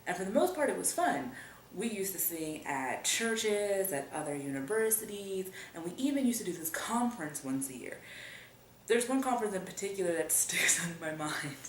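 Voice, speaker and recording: A woman's voice: lowish voice, normal speed, recorded from a distance. Woman telling a story in an echoey room. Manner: animated, laughing often, lively emphasis. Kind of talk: casual storytelling. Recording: roomy echo, voice a bit off-mic, faint room noise.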